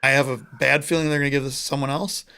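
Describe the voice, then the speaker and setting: A man from the United States co-hosting a casual sports podcast over a remote call, chatty and joking, with quick asides. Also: mid-range voice, flat delivery, bright, forceful tone, clean tone. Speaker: podcast co-host.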